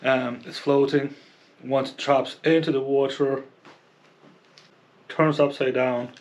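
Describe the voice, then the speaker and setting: Slow, halting man explaining gear. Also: mid-range voice, little pitch movement. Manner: halting, explanatory, often checks with "okay?". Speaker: fishing channel presenter reviewing gear. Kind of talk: casual unscripted product review.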